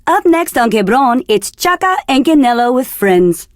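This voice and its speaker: Game radio DJ: seductive, self-assured, slightly high, very close and dry. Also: female voice, big pitch swings, normal speed, no room sound. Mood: flirty, upbeat. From Argentina. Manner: sultry, seductive, teasing. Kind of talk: scripted game radio DJ patter.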